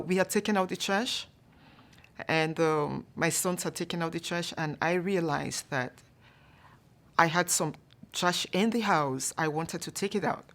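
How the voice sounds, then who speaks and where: Woman from cameroon telling her story, low voice, calm and steady. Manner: calm, measured, many "you know" fillers. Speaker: staff member telling a personal story. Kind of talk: storytelling to an audience.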